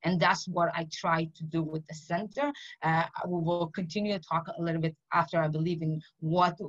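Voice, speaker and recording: A young woman with a fairly low voice tells her story with earnest conviction, often restarting her sentences. Her is Amharic. The audio is dull and lo-fi. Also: fairly even intonation, moderate pace. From Israel. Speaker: panelist and activist. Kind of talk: panel discussion talk.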